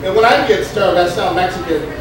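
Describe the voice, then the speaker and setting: High-voiced rambling young stand-up comic. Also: male voice. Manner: rambling, halting delivery with many fillers and restarts; acts out a stoned, scatterbrained waiter. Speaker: stand-up comedian. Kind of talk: open-mic stand-up comedy. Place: Mexican restaurant with a live crowd. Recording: very echoey room, distant mic, crowd noise.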